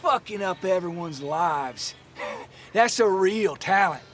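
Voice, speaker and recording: A man's voice: high-pitched, expressive, slow, fairly bright tone, a little hiss or hum, heavily acted. An actor playing a volatile, foul-mouthed male troublemaker in a video game, with a sneering, aggressive manner. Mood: hostile, sneering. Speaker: hostile local character.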